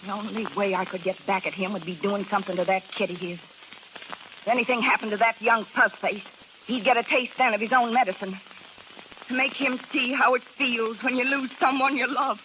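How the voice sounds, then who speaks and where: A woman's voice: fairly high pitch, fairly even intonation, a little fast, fairly bright tone, lightly acted. An actress playing a bitter, jilted, scheming carnival showwoman with slangy, tough American talk, in 1930s radio drama style. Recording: noisy 1930s radio recording.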